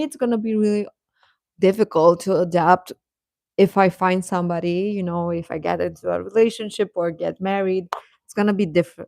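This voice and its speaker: A woman's voice: medium pitch, darkish tone, dry recording, clean studio sound. A woman hosting a livestream, chatting casually and advising viewers in a conversational way, often hesitating and correcting herself. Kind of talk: casual chat, giving advice to viewers.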